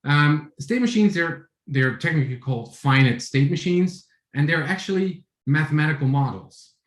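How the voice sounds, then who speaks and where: A man in his forties from the Netherlands with a Dutch accent, giving a relaxed tech talk over a video call, with many fillers and restarts. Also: on the high side, moderate pace, reverberant. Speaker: conference speaker. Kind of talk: online tech talk with screen share.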